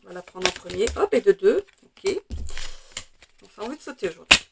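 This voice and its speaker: A French woman with a slightly hoarse voice, chatting through a tarot card reading with many hesitations and fillers. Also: medium pitch, big pitch swings, brisk, noisy background. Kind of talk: casual weekly tarot reading.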